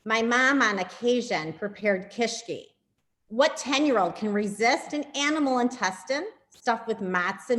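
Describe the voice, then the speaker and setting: A woman in her fifties reads a comic personal piece aloud. Her voice is middling in pitch, and she speaks in scripted sentences as an amateur reader. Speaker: participant reading a personal piece. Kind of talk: scripted humorous reminiscence, read aloud.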